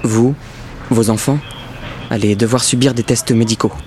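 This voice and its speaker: An actor playing a reserved, courteous official in an audio drama, speaking in brief polite phrases. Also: male voice, mid-range voice, fairly even intonation, normal speed, a little mellow, a little hiss or hum, close to an everyday voice. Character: reserved, courteous male official announcing medical tests.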